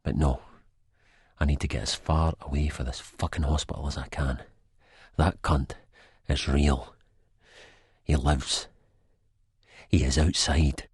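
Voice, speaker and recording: An actor playing an anxious Scottish man in a first-person audiobook, with a deep, husky voice. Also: fairly even intonation, unhurried, long pauses, no echo, studio quality, exaggerated voice. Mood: panicked dread. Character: anxious Scottish man narrating in sheer terror of an old enemy.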